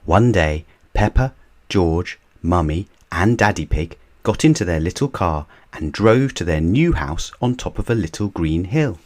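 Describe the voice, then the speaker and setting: Deep, slow storybook-reading man. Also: animated. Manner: gentle and measured. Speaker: reader of children's books.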